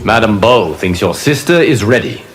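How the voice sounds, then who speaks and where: A man playing a calm, wise fire god and martial arts mentor in a video game, speaking formally and gravely. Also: lowish voice, normal speed, faint music behind, lightly acted, poor audio. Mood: calm, instructive. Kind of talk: video game character dialogue.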